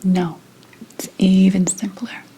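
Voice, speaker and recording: A woman playing an indignant, bitter 17th-century witch and healer in a whispered ASMR roleplay, deliberate and scornful. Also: medium pitch, little pitch movement, dark tone, husky, poor audio. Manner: slow, deliberate, scornful whispering with pauses and sighs.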